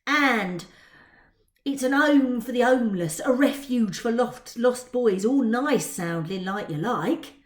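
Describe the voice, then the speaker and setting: A woman's voice: fairly high pitch, expressive, takes it slowly, roomy sound. A woman voicing a cocky, street-smart Victorian boy pickpocket with a Cockney accent, bragging in ungrammatical slang in a story reading. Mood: boastful, dismissive.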